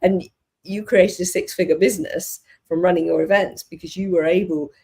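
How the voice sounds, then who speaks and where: Podcast host gushing and rambling, um and you know everywhere, middle pitch. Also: steady tone, normal speed, a little mellow, breathy edge. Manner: enthusiastic, rambling, hedging with fillers. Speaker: podcast and live show host. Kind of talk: casual interview talk.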